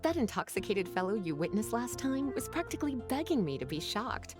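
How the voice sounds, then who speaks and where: A woman's voice: on the high side, very animated, a little fast, over music. A woman playing a sultry, teasing librarian in a video game, coy, languid and playful.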